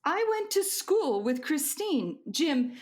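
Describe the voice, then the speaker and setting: A brisk, commanding president. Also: female voice, sits high, sing-song, darkish tone, full character voice. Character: decisive female national president. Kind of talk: community radio play acting.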